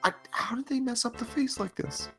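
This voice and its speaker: A man's voice: very high-pitched, moderate pace, gravelly, over music. A man co-hosting a stream in casual, amused chat with a wry, joking manner. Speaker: stream co-host.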